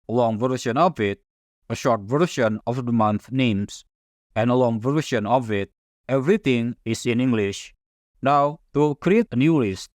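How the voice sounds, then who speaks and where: A man whose first language is Indonesian narrates a spreadsheet tutorial in a calm, methodical voice. His pitch sits in a typical middle range for a man. The recording is close and dry, with no room sound. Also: no room sound. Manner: calm, methodical step-by-step explaining. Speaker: software tutorial instructor.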